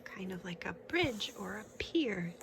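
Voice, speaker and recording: Woman reading a kids book out loud, slow n instructive, points stuff out, noisy background. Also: medium pitch, big pitch swings, vocal fry. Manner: instructive, pausing to point out pictures. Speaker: children's picture book reader. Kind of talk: read-aloud for young children with casual asides and questions.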